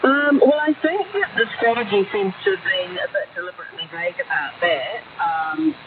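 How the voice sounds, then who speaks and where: A woman's voice: medium pitch, a little fast, distant mic. A woman with a New Zealand accent answering in a radio interview, hesitant and thinking aloud as she goes. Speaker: interviewee, trade body executive. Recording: narrow, noisy shortwave radio broadcast.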